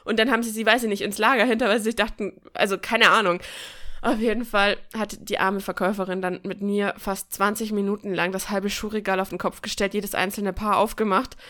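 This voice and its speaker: A woman's voice: mid-range voice, a little fast. A woman telling personal stories in a casual, joking and colloquial way, as a podcast host. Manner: chatty, colloquial, expressive and joking. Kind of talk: casual chat, personal storytelling.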